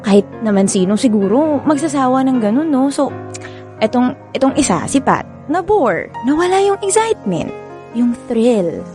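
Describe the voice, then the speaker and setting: A woman with a Filipino accent playing a frank, caring best friend who gives advice in a radio drama, with expressive, drawn-out emphasis. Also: high voice, soft background music. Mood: advising, emphatic.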